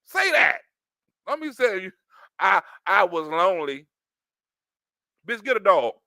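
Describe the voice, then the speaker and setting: A man giving loose, animated comic commentary on a clip, laughing often and acting out little scenes. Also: sits high, a little slow, no echo, clean studio sound. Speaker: streamer reacting to a news clip.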